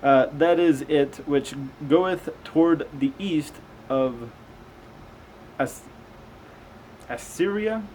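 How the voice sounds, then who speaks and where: A man with a fairly high-pitched voice reads old text aloud in a halting way, tripping on hard words. He mixes in casual, conversational asides. The amateur recording carries faint background noise. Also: darkish tone, low-quality recording. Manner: halting reader, stumbles over unfamiliar words. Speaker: amateur host reading aloud and commenting. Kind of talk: reading scripture aloud with casual commentary.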